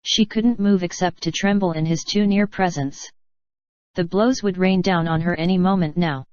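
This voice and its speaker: A fairly low synthetic female voice narrates a scripted story. The phrasing is stilted, with an odd cadence. The audio is very dry and slightly dull. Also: fairly even intonation, moderate pace, a little mellow, no room sound. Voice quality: synthetic text-to-speech voice.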